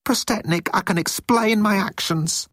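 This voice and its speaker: A man's voice: high-pitched, moderate pace, fairly bright tone, no echo, studio quality, pitched above the performer's own voice. A man voicing a timid young alien crewman, anxious and miserable, in an audiobook story. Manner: miserable, anxious, blurting protests.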